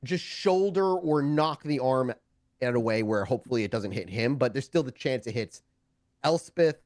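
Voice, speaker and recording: A man runs a tabletop game, improvising the story with profanity. His medium-pitched voice swings in animated fashion, recorded close and dry. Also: moderate pace, dry recording. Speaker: game master.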